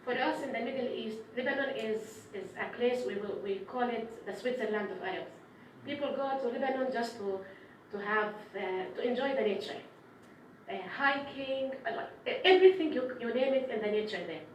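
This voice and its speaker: A woman gives earnest, formal remarks, her voice pitched a little high. She often restarts her phrases. She sounds set back from the mic in a very echoey room, with faint noise behind her. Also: normal speed, far from the mic. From Palestinian, raised in Syria and Jordan. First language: Arabic. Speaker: development official giving remarks. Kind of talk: formal speech at a press event. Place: indoor press conference room.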